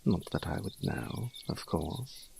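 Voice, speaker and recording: A man's voice: low-pitched, expressive, takes it slowly, gravelly, a little mellow, a little hiss or hum, full character voice. A man playing a dapper, fussy, teasing young nobleman in an audio drama, speaking in an airy, flowery, mannered way. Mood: teasing, courteous.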